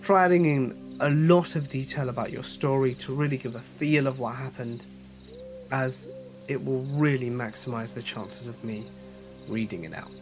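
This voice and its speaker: A man's voice: medium pitch, normal speed, music in the background. A man with a slight head cold, hosting and narrating a horror story channel in a scripted storytelling style.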